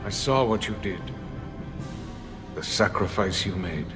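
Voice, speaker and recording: A man playing a menacing, accusing villain in a science fiction video game, speaking slowly and deliberately. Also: sits low, animated. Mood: ominous. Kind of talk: video game dramatic acting. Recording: music behind the voice.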